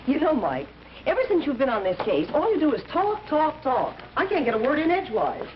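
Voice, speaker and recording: An actress with an American accent playing a detective's devoted, teasing wife in old-time radio drama style, wry and coaxing. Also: female voice, sits high, huge pitch swings, normal speed. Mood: playful, nagging. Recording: dull, noisy old radio recording.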